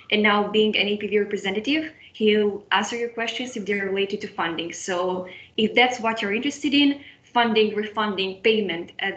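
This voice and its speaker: A woman's voice: mid-range voice, fairly bright tone, reverberant. Young woman, webinar moderator, video call, dull lo-fi audio. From Moldova. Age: young adult. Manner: friendly, with frequent restarts and repetitions. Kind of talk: online webinar hosting.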